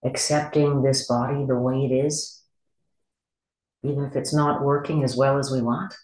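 A meditation teacher with a medium-pitched voice guides a body scan. The delivery is gentle and unhurried, with long pauses between short instructions. The recording carries noticeable room echo. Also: fairly even intonation. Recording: echoey room.

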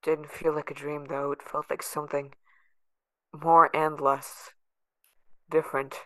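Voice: medium pitch, flat delivery, a little slow, a bit bright, dry recording, close to an everyday voice. An actor playing a sardonic, guarded young adult patient held in a facility, narrating in the first person with bitter sarcasm. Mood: brooding, uneasy.

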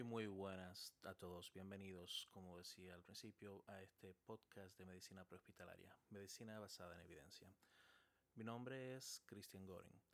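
A man's voice: low voice, gravelly. A man, a paramedic hosting his own podcast in a casual monologue with frequent "eh" fillers. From Chile, raised in Dominican Republic.

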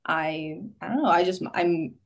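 Halting, giggly young American woman. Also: low voice, fairly even intonation. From United States. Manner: halting, giggly, thinks aloud. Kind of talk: casual chat.

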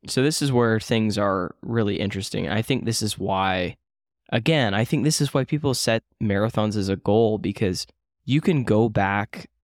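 A man in his late twenties chats casually as a podcast co-host. His voice sits a little low, and he rambles with fillers and restarts, often poking fun at himself. The sound is dry and close. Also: normal speed, no room sound. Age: 20s. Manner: rambling, self-deprecating, frequent fillers and restarts. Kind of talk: casual chat.

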